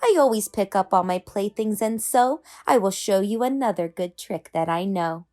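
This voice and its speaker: A woman's voice: medium pitch, lively intonation, normal speed, darkish tone, natural voice. A woman reading a children's storybook as a playful, unbothered male cat with a breezy, showy manner. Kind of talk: children's storybook read-aloud.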